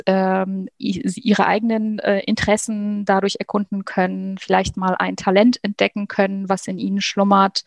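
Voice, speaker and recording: A woman's voice: medium pitch, no echo. Hesitant woman guest, dry recording. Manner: hesitant, many fillers. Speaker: guest, program coordinator. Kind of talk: interview answers.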